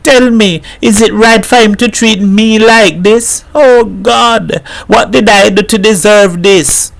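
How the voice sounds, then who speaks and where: A woman's voice: fairly even intonation, unhurried, a bit bright, natural voice. A man voicing a distraught woman, pleading and tearful in a storybook reading. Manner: pleading, tearful, high-pitched. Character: distraught woman, devoted to a cruel lover.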